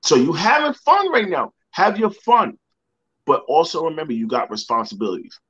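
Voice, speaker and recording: A man's voice: a little high, very animated, moderate pace, a bit bright, highs cut off. A young man, a motivational speaker, telling a personal story in a podcast interview. He repeats words and acts out the people he quotes.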